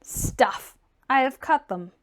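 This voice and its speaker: A woman voicing a proud, stubborn young gentleman clerk, curt and formally sardonic, in an audiobook reading. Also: sits high, lively intonation, takes it slowly. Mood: dismissive.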